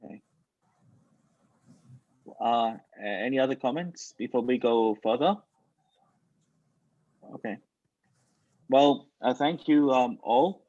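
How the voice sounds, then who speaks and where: A man's voice: medium pitch, slow, long pauses, warm tone, no room sound, dull audio. A man moderating a panel discussion, speaking in formal, wordy phrases. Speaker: webinar moderator.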